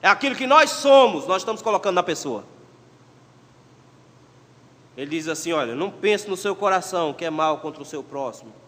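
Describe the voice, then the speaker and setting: Pastor, male, Pernambuco accent, high voice, emphatic sermon delivery with soft asides, long church reverb. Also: animated, fast talker. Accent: Pernambuco Portuguese. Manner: emphatic, swings between loud exhortation and quiet asides. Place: church sanctuary.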